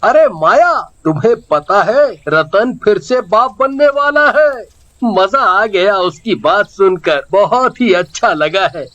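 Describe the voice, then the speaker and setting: An expressive young village husband in a cartoon tale, voiced by a man. He is high-pitched and slow, easily excited or worried. The sound is dry and somewhat muffled. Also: dry recording, full character voice. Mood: delighted. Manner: expressive, stammering when scared.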